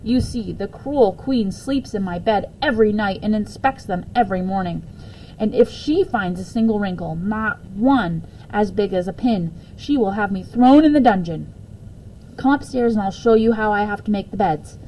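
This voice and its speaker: Woman playing a kindly make-believe lady, mid-pitched, instructive, playfully dramatic, storybook. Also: expressive, moderate pace, mellow, slight background noise, close to an everyday voice, poor audio. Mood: playfully serious.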